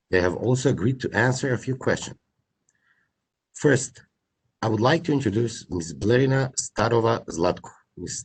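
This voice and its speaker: Guy, husky low voice, formal moderator, talking through a mask. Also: darkish tone. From North Macedonia. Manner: polite and formal, reads introductions. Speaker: procurement agent, event moderator. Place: office. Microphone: speaking through a face mask.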